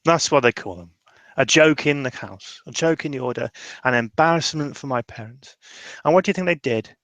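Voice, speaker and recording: A man playing a tormented, bitter mage in an improvised tabletop roleplay, speaking haltingly and emotionally, full of regret. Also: mid-range voice, lively intonation, fairly bright tone, no echo. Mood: bitter, regretful. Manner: halting, emotional, swearing, trailing off mid-sentence.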